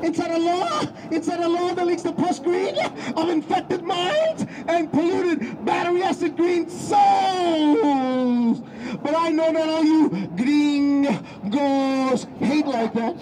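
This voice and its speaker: A man from Ecuador performing spoken-word poetry, declaiming and often shouting with big swings in loudness and pace. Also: very high-pitched, slow pace, noisy background.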